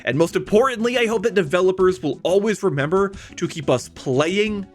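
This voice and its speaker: Higher-pitched man narrating over music. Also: a little fast. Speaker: video essay host. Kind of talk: scripted video essay narration. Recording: music behind the voice. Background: background music.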